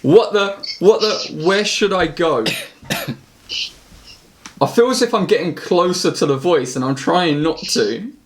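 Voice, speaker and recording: A man's voice: sits high, a little slow. A man casually hosting an online quiz, addressing the contestants on a group call. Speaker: quiz host. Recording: clearly noisy mic with clicks.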